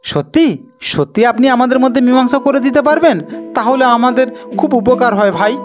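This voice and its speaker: A possessive village brother in a fairy-tale cartoon, played by a male narrator, high and insistent. He has a childish, bickering way of talking, with music clearly behind him. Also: animated, normal speed. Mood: eager.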